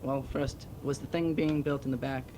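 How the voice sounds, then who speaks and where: A man's voice: fairly even intonation, moderate pace. A young man asking informal interview questions as a student newspaper interviewer. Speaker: interviewer for a high school newspaper. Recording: noisy, rumbling 1970s tape recording.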